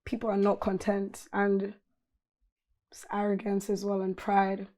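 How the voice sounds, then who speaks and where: A woman's voice: mid-range voice, completely flat delivery, a little slow, darkish tone. A woman speaking firmly and matter-of-factly in a documentary interview.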